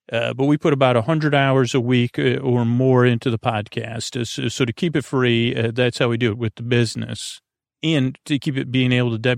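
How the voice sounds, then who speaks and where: A man with a creaky voice hosting a bedtime podcast, speaking in a lulling, gentle, meandering way, hesitating and drifting into tangents. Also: medium pitch, dry recording, studio quality. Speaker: host of a bedtime story podcast. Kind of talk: rambling, soothing bedtime monologue.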